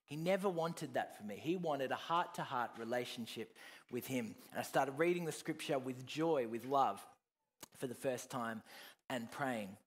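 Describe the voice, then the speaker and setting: Earnest man preaching his testimony. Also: normal speed. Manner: earnest, swings from loud emphasis to soft, low reflection. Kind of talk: personal testimony sermon.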